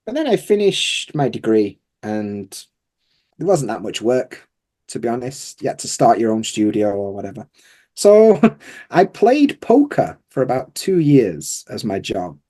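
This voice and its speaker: A man's voice: medium pitch, sing-song, a little slow, warm tone. A man with a Yorkshire accent, chatting informally and self-deprecatingly as a guest in a casual interview. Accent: Yorkshire English. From Yorkshire, England. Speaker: guest, English teacher.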